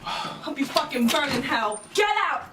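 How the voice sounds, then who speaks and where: A woman's voice: high-pitched, a little slow. An actress playing a betrayed, accusing girlfriend in a heated film drama, recorded at a distance. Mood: furious, accusing. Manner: forceful, accusatory. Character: furious, betrayed young woman girlfriend, hotly accusing. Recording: distant mic with background noise.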